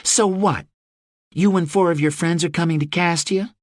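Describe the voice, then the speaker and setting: A woman's voice: on the low side, fairly even intonation, moderate pace, dry recording, studio quality, voice pushed up, close to an everyday voice. A male narrator voicing a fierce young woman who leads mercenaries under siege, blunt and swearing freely. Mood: incredulous, pleading. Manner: sharp-tongued, swearing, blunt.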